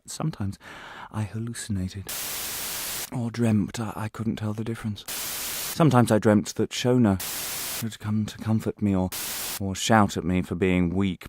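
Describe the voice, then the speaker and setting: A man's voice: deep voice, a little mellow, a little hiss or hum. A man playing a bitter, anguished prisoner held without trial, rambling and questioning in a radio drama monologue. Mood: anguished, haunted. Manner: halting, repetitive, questioning, swinging into angry outbursts.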